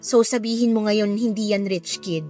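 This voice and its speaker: A woman playing a smitten, bashful young hotel receptionist in chatty, animated radio drama banter. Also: mid-range voice, normal speed, close to an everyday voice. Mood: insistent. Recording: music under the voice.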